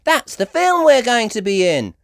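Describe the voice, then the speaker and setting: A woman's voice: a little high, big pitch swings, normal speed, vocal fry, no room sound, clean studio sound, pitched above the performer's own voice. A woman voicing a chirpy, brash female rabbit taxi driver and tour guide from New York in a storybook. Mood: brash cheer.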